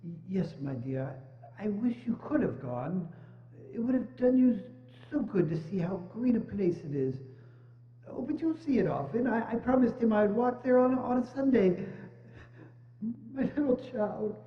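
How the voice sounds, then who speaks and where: A gentle, grieving Victorian father played by a man speaks in halting, stammering, tender phrases. It is a theatrical reading in a reverberant hall, with dull, muffled sound. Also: high-pitched, expressive. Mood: tender grief. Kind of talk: dramatic reading of a Victorian classic.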